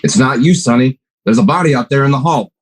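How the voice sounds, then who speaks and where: A man as a husky, deadpan milkman. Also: mid-range voice, fast talker, close to an everyday voice. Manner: dry, matter-of-fact. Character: plainspoken male milkman, part-time partner. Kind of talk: radio play acting.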